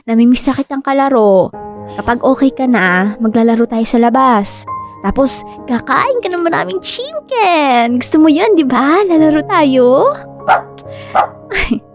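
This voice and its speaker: High-voiced, devoted young Filipino dog mom. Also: female voice, expressive, measured pace. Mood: tender. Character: devoted, anxious young woman doting on her aging dog. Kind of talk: Tagalog radio drama acting. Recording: music bed behind dry studio voice.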